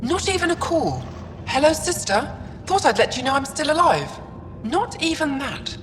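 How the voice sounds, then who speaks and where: A woman's voice: high-pitched, big pitch swings, normal speed, a little hiss or hum. An actress playing a wry, pointed woman in a sci-fi video game. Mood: hurt, indignant. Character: wry, indignant sister in a sci-fi adventure. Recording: long reverberant tail, music behind.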